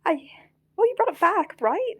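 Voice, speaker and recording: A woman's voice: very high voice, big pitch swings, normal speed, fairly bright tone, exaggerated voice. A woman playing a frantic, childlike living puppet in an audio drama, flustered, stammering and pleading. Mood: panicked.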